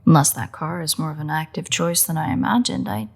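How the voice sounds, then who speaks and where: A woman playing a sardonic, predatory vampire in an intimate roleplay audio drama, with a husky, breathy voice and a playful, drawling delivery. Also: low voice, a little fast, a little mellow. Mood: incredulous, sarcastic. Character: teasing, unrepentant female vampire, sardonic and predatory.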